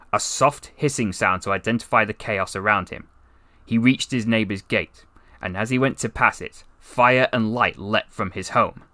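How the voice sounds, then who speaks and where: A man reading a story as a voice actor, in a solemn, dramatic and deliberate narrating style. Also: medium pitch, bright, forceful tone, dry recording.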